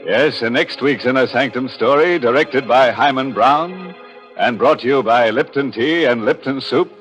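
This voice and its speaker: Casting: male actor as gleefully morbid host, unhurried and punning, muffled 1940s radio with music underneath. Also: mid-range voice, a bit bright, lightly acted. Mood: gleefully macabre. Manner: sardonic, punning, chuckling, drawn-out delivery. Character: ghoulish, gleefully macabre horror show host. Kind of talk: 1940s radio horror hosting.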